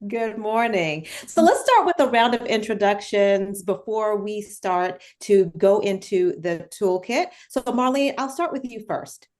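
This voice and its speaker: A woman's voice: mid-range voice, expressive, measured pace, hardly any pauses, darkish tone. A woman facilitating a workshop, welcoming guests in a friendly way. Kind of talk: webinar moderation.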